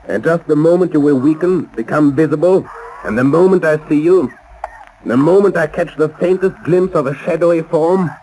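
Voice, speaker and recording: An actor playing a suave, cold-blooded saboteur doctor in a 1930s radio drama, speaking formally and deliberately with polite menace. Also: male voice, fairly high pitch, warm tone, slight background noise, natural voice, rough recording. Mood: gloating menace. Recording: old 1930s radio recording.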